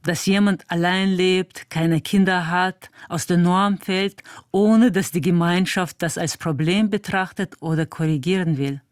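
A woman speaks in articulate, prepared answers at a measured step. Her voice sits at a medium-low pitch and is recorded very dry, with no room around it. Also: no echo.

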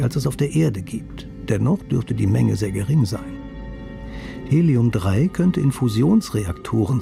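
A man with a deeply gravelly, creaky voice reads a scripted radio commentary in a dry, ironic tone. His pitch moves in lively arcs. Music plays clearly beneath him. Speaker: science columnist. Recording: music bed under voice.

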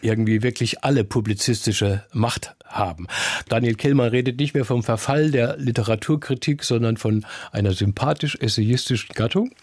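A man interviewing a writer, asking long, measured framing questions. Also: medium pitch, darkish tone, dry recording, clean studio sound. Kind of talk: cultural radio interview.

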